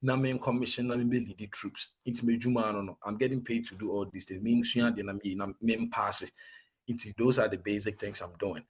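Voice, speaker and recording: Ghanaian man, husky voice, young adult soldier, animated storytelling, repeats for emphasis. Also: lowish voice, a little fast. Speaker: soldier interviewee. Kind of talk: casual interview, mixing English and Twi.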